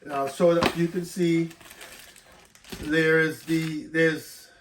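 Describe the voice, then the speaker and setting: A man's voice: a little high, little pitch movement, slow, noisy background. Stuffy-nosed man unboxing tech gear. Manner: reads specs off the box, then explains in loose asides. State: stuffed up from a cold. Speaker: tech reviewer. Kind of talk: casual unboxing walkthrough.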